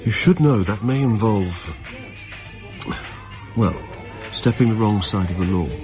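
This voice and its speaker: A man's voice: fairly low pitch, unhurried, music in the background, close to an everyday voice. An actor playing a sly, condescending male schemer in a radio drama, casual and manipulative in manner. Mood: slyly persuasive. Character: smooth, condescending male schemer, slyly persuasive.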